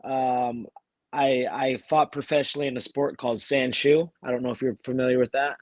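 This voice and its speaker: A fighter from Texas, talking casually as an interview guest while nursing a cold, with many fillers and restarts. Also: male voice, mid-range voice, little pitch movement, moderate pace, dry recording. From Texas, USA. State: has a cold. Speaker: bare-knuckle fighter, guest.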